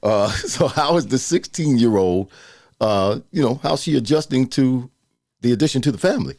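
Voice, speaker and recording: A man in his fifties hosting a casual studio interview, loud, playful and talkative. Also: medium pitch, expressive, moderate pace, no room sound. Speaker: podcast host. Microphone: studio mic.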